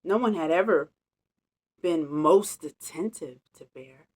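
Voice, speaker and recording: A woman reading a picture book aloud as a storytime host, expressive and chatty with casual asides. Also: low-pitched, measured pace, slightly rough. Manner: animated, chatty, savouring phrases. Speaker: storytime reader.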